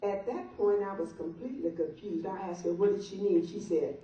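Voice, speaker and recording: An older woman reads a humorous open letter out loud to a gathering. She is heard from some way off in a strongly echoing room. The sound is muffled, as if caught by a distant microphone. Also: mid-range voice, brisk. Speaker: guest reader at a birthday party. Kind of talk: reading a humorous open letter aloud to guests. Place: large echoey room at a birthday party. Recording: muffled, very echoey room, distant mic, noise.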